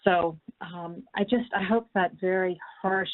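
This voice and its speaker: Woman filmmaker on a muffled phone conference, lowish voice, earnest and kinda halting. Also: steady tone. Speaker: documentary filmmaker. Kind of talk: unscripted panel discussion on a conference call. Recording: muffled narrow-band conference call line.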